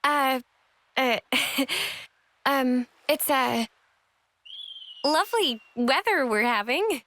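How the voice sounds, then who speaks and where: A woman's voice: sits high, lively intonation, drawn-out words, sharp tone, slight background noise, exaggerated voice. A woman voicing a shy, self-effacing young female soldier in a video game, hesitant and apologetic. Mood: awkward, flustered. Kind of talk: video game cutscene voice acting. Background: background music.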